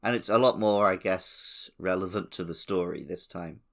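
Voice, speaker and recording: A man with a deep voice and plenty of gravelly creak. He speaks as a podcast co-host, chatting casually about gaming. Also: normal speed, fairly bright tone. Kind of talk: casual video game discussion.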